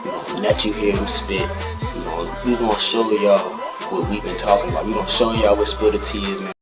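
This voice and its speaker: A man with a very deep, flat voice talks in a confident, emphatic way. He sounds distant from the mic, and loud music plays underneath. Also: brisk. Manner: confident, emphatic hype speech.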